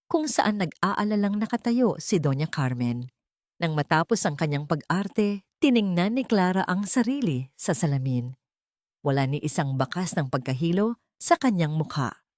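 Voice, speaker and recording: A woman's voice: on the low side, a little mellow, no room sound, studio quality. A woman storyteller narrating a scripted drama in a dramatic style.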